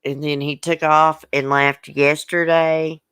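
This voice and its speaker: A woman's voice: low voice, level tone, takes it slowly, a bit bright, smooth, dry recording, studio quality. A woman hosting a livestream, upset and hurt, bluntly venting and reading viewer comments aloud in casual, swearing speech. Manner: emotional, blunt, colloquial, swears. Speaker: YouTube channel host.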